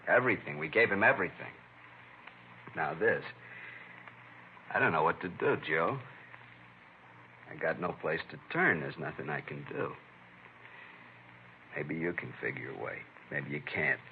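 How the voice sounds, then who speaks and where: A helpless, distraught American father in a vintage radio drama. His voice is very low and his speech halting and troubled. The recording is muffled, with faint noise. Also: animated, heavily acted. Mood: despairing. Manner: halting, upset. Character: distraught middle-aged father, anguished and helpless. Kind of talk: 1950s radio drama acting.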